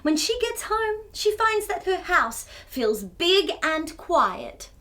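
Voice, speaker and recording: A woman with a high, very lively voice presents a children's storytime. She takes her time, reading expressively and breaking off with exclamations and questions for the little ones. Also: slow pace. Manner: animated, exclaiming, asks the young listeners questions. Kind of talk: expressive read-aloud storytelling for young children.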